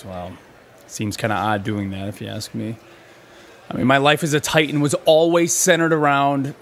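An actor plays a despairing male soldier speaking into a private log. He is halting and emotional, bitter with grief, at a middling pitch over a noisy ambient background. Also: a bit bright. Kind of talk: audio drama monologue as personal log.

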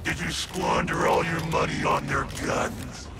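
An actor playing a menacing, theatrical mad scientist boss in a video game, full of sardonic taunts. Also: male voice, mid-range voice, wildly swooping pitch, a little slow, vocal fry, very noisy, full character voice. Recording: game audio with gunfire and ambience.

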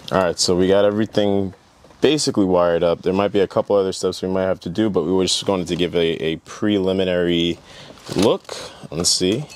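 A man's voice: low voice, a little mellow, a little hiss or hum. A man hosting a casual, hands-on car tutorial vlog in an enthusiastic, informal way.

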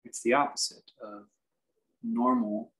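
A man teaching a guided meditation, speaking in measured, halting phrases with reflective pauses. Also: mid-range voice, steady tone, fairly bright tone. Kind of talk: guided meditation, unscripted instruction.

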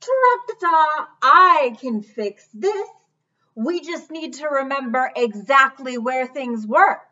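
Casting: woman playing fussy, confident storybook elephant, trumpeting, high-pitched, dull sound. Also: lively intonation, slow, pushed and bright, full character voice. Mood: eager, confident. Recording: dull webcam sound, distant mic.

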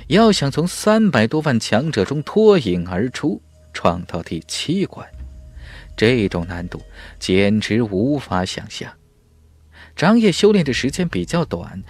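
A man narrating a fantasy audiobook in a dramatic, scripted storytelling style. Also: on the low side.

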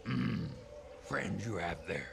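Old wise little alien, low croaking voice, slow, cryptic, game cutscene, loud score. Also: male voice, lively intonation, noisy background, exaggerated voice. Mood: solemn. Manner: slow, cryptic, inverted word order. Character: tiny ancient wise alien master. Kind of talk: video game cutscene acting. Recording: loud music behind voice.

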